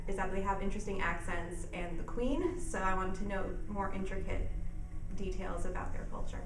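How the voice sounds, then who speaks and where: A woman's voice: medium pitch, steady tone, moderate pace. Young woman, distant and roomy. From New York, USA. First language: Russian. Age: young adult. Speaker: college student sharing study-abroad experience. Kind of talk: interview testimonial. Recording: roomy, distant mic, music underneath.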